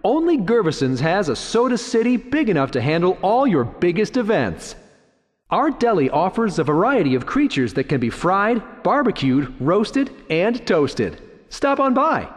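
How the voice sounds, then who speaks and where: A chirpy, bumbling supermarket announcer from a video game. The salesy patter is fairly high and very animated, ringing out with long reverb. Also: male voice, measured pace. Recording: reverberant store announcement echo.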